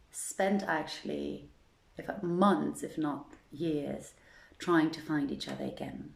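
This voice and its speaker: A woman's voice: low-pitched, creaky. A woman hosting a storytelling evening and reading in a leisurely, conversational way. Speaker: host and reader of a storytelling series. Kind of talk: informal introduction, then literary storytelling reading. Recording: roomy echo with music underneath. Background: music playing in the background.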